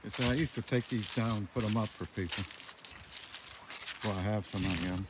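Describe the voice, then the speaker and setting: A man's voice: fairly low pitch, normal speed. An older man talking casually in a plain, folksy way as he rambles through a walkaround commentary outdoors. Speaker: vlogger showing around own farm. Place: outdoors on a rural farm. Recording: noisy outdoor recording with loud ambient noise.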